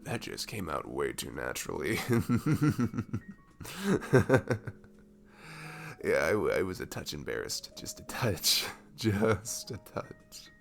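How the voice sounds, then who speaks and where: A man playing a sweet, goofy, comforting barista in an intimate ASMR roleplay, speaking softly and haltingly with a faint Southern US accent. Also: extremely low pitch, sing-song, gravelly, exaggerated voice. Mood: sheepish, amused. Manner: soft, halting, chuckling, drifting into near-whispers. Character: cute, goofy, comforting young male barista, sweet and self-deprecating.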